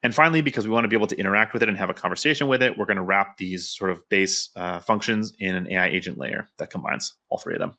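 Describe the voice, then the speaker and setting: A man speaks as a presenter at a technical talk. His voice sits low but has a bright, forward edge. He explains in an informal, conversational way at a brisk clip. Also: vocal fry. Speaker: conference speaker, developer and pilot.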